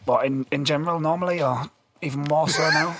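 A man hosting a casual podcast chat in a rambling, halting way, his voice a little lost. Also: fairly high pitch, steady tone, fairly bright tone. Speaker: podcast host.

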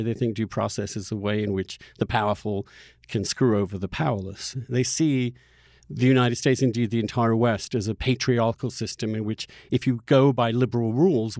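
A man hosting a discussion podcast, talking through political arguments in a thoughtful, halting way and often repeating words mid-sentence. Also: medium pitch, warm tone, no echo, studio quality. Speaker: podcast host interviewing a guest.

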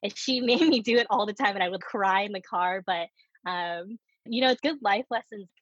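Candid woman with a slightly husky voice. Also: mid-range voice, normal speed, no echo, highs cut off. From United States. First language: Korean. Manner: candid, laughs often while telling stories. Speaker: startup co-founder guest. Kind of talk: casual interview conversation.

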